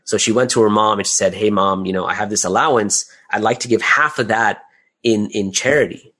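Low-voiced man chatting as interview guest. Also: fairly quick, pushed and bright, husky. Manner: chatty, often adds "right?" and "you know". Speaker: interview guest, audio drama creator. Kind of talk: conversational interview answers.

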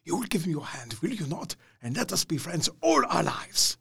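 An actor playing a kindly, earnest old professor, speaking formally and emphatically in an audio drama. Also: male voice, on the high side, very animated, moderate pace, gravelly, a bit bright, breathy edge, heavily acted. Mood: warm, earnest. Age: older. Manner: emphatic, quaintly formal phrasing.

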